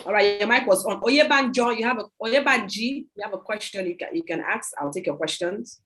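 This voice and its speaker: A woman hosting a video call meeting, giving an emphatic, repetitive sales pitch and taking questions. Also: brisk, fairly bright tone, a little hiss or hum. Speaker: host of a product sales meeting. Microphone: computer mic on a video call.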